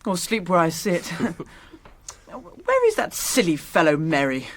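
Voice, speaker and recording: A man's voice: fairly high pitch, wildly swooping pitch, slow, exaggerated voice. A man playing a good-natured young hobbit in a radio drama, chatty and plaintive. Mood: weary, grumbling. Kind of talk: classic radio drama acting.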